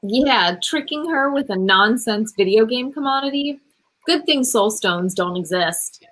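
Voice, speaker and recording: Radio play young camper heroine, doubting and fed up, raspy high voice; female performer. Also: lively intonation, measured pace, a bit bright, low-quality recording. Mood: dry. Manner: sceptical, pointed. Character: exasperated, sceptical young female camper hero. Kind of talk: audio drama acting. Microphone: video call.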